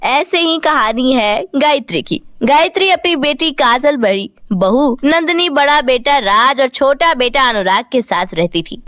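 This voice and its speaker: A woman's voice: high voice, animated, measured pace, few pauses, a bit bright, no room sound, clean studio sound. A woman narrating a scripted moral story for a cartoon.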